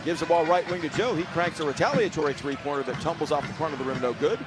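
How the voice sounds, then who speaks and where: A man working as a radio basketball announcer, giving live play-by-play in brisk, clipped phrases that lift on big plays. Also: fairly high pitch, rough recording. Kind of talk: live sports play-by-play commentary.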